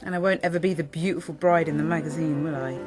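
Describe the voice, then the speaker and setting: A woman's voice: sits low, fairly even intonation, fast, music in the background, natural voice. A woman with an English accent playing a down-to-earth, self-doubting bride-to-be in a radio soap.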